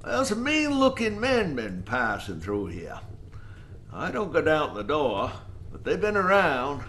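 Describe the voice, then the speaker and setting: A man's voice: on the high side, wildly swooping pitch, measured pace, a bit bright, slight background noise, full character voice. A man playing a weary, wary old lighthouse keeper in an audio drama, with a folksy drawl. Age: older.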